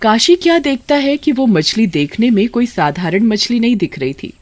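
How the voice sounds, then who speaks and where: A woman's voice: on the high side, sing-song, moderate pace, darkish tone. A woman narrator reading a scripted moral tale for children. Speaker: story narrator.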